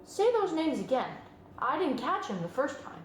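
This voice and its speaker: A woman's voice: sits high, normal speed, pitched above the performer's own voice. A woman voicing a naive, eager, boastful young pig in a children's storybook read-aloud. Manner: earnest and excitable. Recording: roomy sound, music behind.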